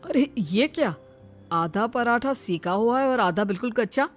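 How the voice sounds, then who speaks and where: A woman's voice: a little high, expressive, unhurried, faint music behind. A woman voicing a fussy, scolding older father-in-law in a cartoon story. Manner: scolding, bossy. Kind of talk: cartoon voice acting.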